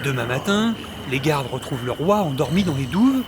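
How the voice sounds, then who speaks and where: A man acts a cheerfully clueless time-traveller sidekick for an audio comedy. His voice sits a little high and stays flippant and casual, over clearly audible background noise. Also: very animated, moderate pace. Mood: breezy. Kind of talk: comedic audio drama acting.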